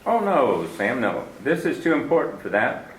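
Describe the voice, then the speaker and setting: A man's voice: medium pitch, sing-song, moderate pace, echoey room, lightly acted, rough recording. A man playing a brash, flirty detective partner. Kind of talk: 1940s radio drama acting.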